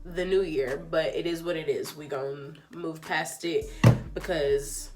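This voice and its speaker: A woman's voice: deep voice, measured pace, faint music behind. A young woman with a stuffy, congested nose, chatting casually through a hair tutorial with lots of ums and likes. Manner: chatty, filler-heavy, relaxed. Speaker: beauty vlogger.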